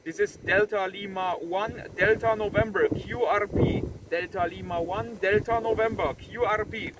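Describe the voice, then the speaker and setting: A man in his thirties doing a casual outdoor radio demonstration, repeating short phrases clearly. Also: high-pitched, steady tone, normal speed. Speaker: amateur radio operator presenting his antenna. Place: outdoors on a windy farm road. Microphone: camera a few steps away. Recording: distant mic with wind and outdoor noise.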